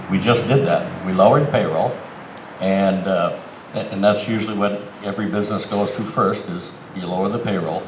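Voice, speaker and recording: A middle-aged man, speaking plainly and haltingly as a candidate at an outdoor forum. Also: low voice. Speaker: city council candidate and incumbent. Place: outdoors in a town park. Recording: echoey outdoor PA with clear background noise.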